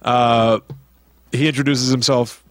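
Guy cohosting a movie podcast, medium pitch, really into it, super dry close recording. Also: steady tone, takes it slowly, no echo. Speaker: podcast co-host. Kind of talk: casual movie-discussion podcast, reading out taglines and quotes.

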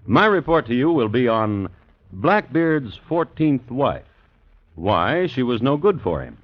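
A man playing a droll, measured host who narrates crime tales in old radio style. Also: mid-range voice, sing-song. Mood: wry, measured. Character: droll, deliberate male storyteller presenting true crime tales. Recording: dull vintage radio, loud music.

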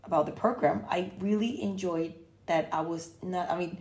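A woman from Costa Rica, a podcast guest, chatting casually and pausing often to find her words. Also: on the low side, a little mellow. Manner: hesitant, many fillers and restarts, searching for words. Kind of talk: casual chat. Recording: roomy echo.

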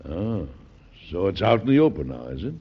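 An actor playing a genial, aging American president in an old radio drama, hesitant and earnest, with a husky, gravelly voice. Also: male voice, deep voice, sing-song, a little fast, heavily acted. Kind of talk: old-time radio drama acting and narration. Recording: dull, lo-fi old radio recording.

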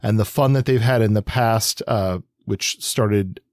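A man's voice: fairly low pitch, unhurried, darkish tone, no room sound, clean studio sound. A man co-hosting a podcast, explaining things casually with wry asides and rambling digressions. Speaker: podcast co-host.

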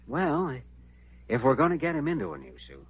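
An actor playing a genial, wry young doctor who talks smoothly and schemes, in old-time radio drama style. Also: male voice, a little high, wildly swooping pitch, moderate pace, full character voice. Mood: scheming, playful. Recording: dull vintage radio recording.

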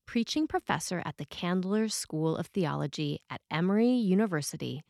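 Lady preacher reading a sermon, slow n deliberate, lowish voice, really dry close sound. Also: no echo. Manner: measured, deliberate phrasing with dramatic pauses. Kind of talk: scripted sermon reading, storytelling.

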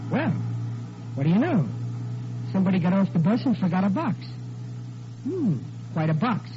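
A man's voice: sits high, big pitch swings, normal speed. An actor playing a blue-collar family man in 1940s radio comedy, colloquial and defiant, full of sheepish excuses. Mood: curious. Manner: colloquial, blustering, makes feeble excuses. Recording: muffled, noisy old radio recording.

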